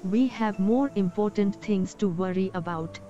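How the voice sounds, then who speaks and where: A woman voicing a small, resolute young dwarf healer in a fantasy game, measured and earnest. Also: mid-range voice, mellow, highs cut off, faint music behind, close to an everyday voice. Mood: firm. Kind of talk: dramatic video game dubbing.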